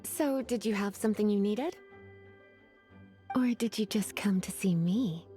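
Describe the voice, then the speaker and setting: A woman's voice: mid-range voice, a little slow, dark tone, soft background music, lightly acted. An actress voicing a flirtatious, languid woman librarian in a video game, with a drawling, teasing, sighing delivery. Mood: flirty, teasing. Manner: sultry, drawling, sighing, coquettish.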